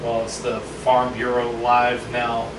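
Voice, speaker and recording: Profane animated young man, echoey room. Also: mid-range voice, slow. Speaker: band member. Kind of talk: casual chat. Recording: roomy, distant mic with noise.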